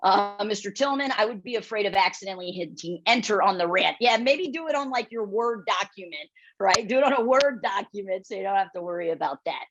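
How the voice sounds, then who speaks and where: A woman with a loud voice presenting a webinar, blunt and direct, often checking in with the audience as she coaches. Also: medium pitch, animated, brisk. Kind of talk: instructional webinar talk. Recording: dull lo-fi webinar audio.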